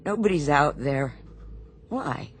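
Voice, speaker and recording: An actress playing a steady, firm, reassuring ship's medic in a radio drama. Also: female voice, sits low, takes it slowly, slight background noise. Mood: calm, insistent.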